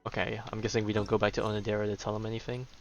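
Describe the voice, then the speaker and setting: A man's voice: on the low side, completely flat delivery, rapid-fire. A man giving casual commentary while playing through a video game, talking off the cuff. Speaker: gaming video host. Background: game music.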